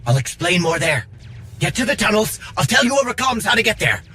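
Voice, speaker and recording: A man playing an earnest, quick-thinking rebel worker in a video game, talking informally and persuasively. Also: high-pitched, very animated, pushed and bright, slightly rough, soft background music, exaggerated voice. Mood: urgent, commanding. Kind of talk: video game cutscene acting.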